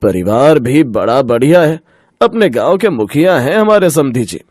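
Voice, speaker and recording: A man's voice: medium pitch, sing-song, moderate pace, a little mellow, no echo, clean studio sound. A man playing a worried middle-aged husband and father in a cartoon story, fretful and emphatic. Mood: proud. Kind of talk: Hindi cartoon moral story acting.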